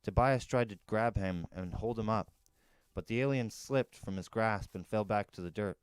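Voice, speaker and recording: A man's voice: lowish voice, moderate pace, clear, resonant tone, dry recording. A man reading a novel aloud as a first-person storyteller. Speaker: narrator, podcast storyteller.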